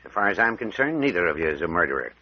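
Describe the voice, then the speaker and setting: An actor playing a folksy, fatherly country doctor with a rural American drawl and a calming manner in an old radio drama. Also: deep voice, husky, heavily acted. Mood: firm, calming. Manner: drawling, soothing, unhurried authority. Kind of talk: 1940s American radio acting. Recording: muffled vintage radio recording.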